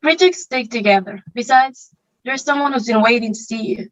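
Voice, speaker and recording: Woman playing cool, wry teenage boy counselor, slightly high. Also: normal speed, muffled recording, lightly acted. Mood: wry, reassuring. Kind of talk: online table read of a stage musical.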